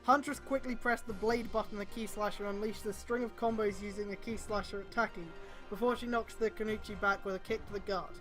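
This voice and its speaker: A woman's voice: mid-range voice, steady tone, fast, music in the background. A woman reading fan fiction aloud on a stream, breaking off with exasperated mocking comments. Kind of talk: live dramatic read-aloud with mocking commentary.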